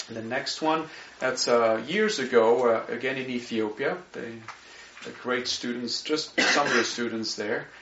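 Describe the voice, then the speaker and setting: A man's voice: mid-range voice, measured pace, a little hiss or hum. A man with a light Swiss accent walks a church audience through his mission slides. He talks earnestly and often tags his points with "right?" The sound is roomy and a little muffled, as if recorded in a church hall. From Switzerland. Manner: earnest, warm asides, frequent "you know" and "right?". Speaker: missionary speaker. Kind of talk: presenting slides to a congregation.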